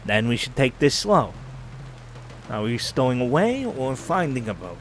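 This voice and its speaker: A man's voice: medium pitch, sing-song, unhurried, very noisy. A man playing an easygoing, slightly sheepish prisoner on the run in an audio drama, casual and wry, with music behind him. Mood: alert.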